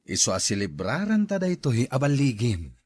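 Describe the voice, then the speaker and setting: A man's voice: medium pitch, wildly swooping pitch, normal speed, slightly rough. A man with a Filipino accent playing an earnest young husband and father in a radio drama. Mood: affectionate.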